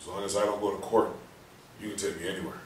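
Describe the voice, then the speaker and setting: Man acting a bitter, low-voiced injured husband. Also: fairly even intonation, fast, close to an everyday voice. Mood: bitter, stubborn. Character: guilt-ridden, bitter, injured husband hiding that he can talk. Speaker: actor in a stage play reading. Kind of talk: staged play reading. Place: roomy indoor space, far from mic. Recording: roomy, distant mic with faint noise.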